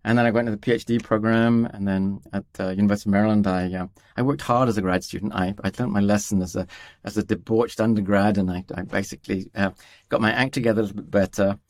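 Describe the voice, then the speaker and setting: A man's voice: fairly low pitch, dry recording. A man from East Africa, a guest scientist on a podcast, telling his career story in a rambling, self-deprecating way with many fillers. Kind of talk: conversational podcast interview.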